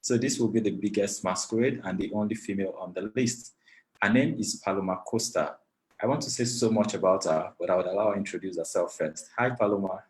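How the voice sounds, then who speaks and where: A man's voice: fairly low pitch, a little fast, rough recording. A man from Nigeria, moderating an online panel over a video call in a gracious, formal way, thanking many people at length. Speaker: webinar moderator.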